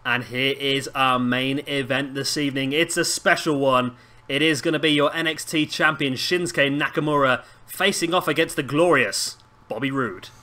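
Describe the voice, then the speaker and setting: A hoarse man with a sore throat giving casual play-by-play commentary as host of a wrestling game video. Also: mid-range voice, fairly even intonation, moderate pace, sharp tone. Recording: clearly noisy home recording.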